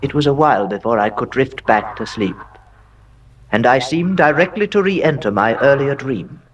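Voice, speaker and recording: An actor playing a haunted, aristocratic heir who recounts Gothic horror in a theatrical, deliberate way with dramatic pauses. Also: male voice, mid-range voice, lively intonation, distant mic, lo-fi sound, slight background noise, close to an everyday voice. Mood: uneasy, dreading. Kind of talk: dramatic horror narration, audio drama monologue.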